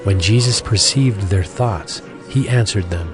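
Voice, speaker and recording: Low-voiced male scripture narrator. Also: unhurried. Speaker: Bible narrator. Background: music.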